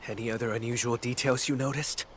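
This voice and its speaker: An actor voicing a brooding, determined male investigator in a video game, deliberate and grim in manner. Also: medium pitch, steady tone, slight background noise, lightly acted. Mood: matter-of-fact.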